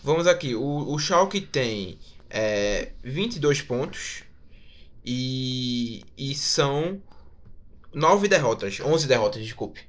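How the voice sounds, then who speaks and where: Halting Brazilian man, medium-pitched voice. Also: lively intonation, a bit bright. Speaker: football podcast panelist. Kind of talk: casual football commentary.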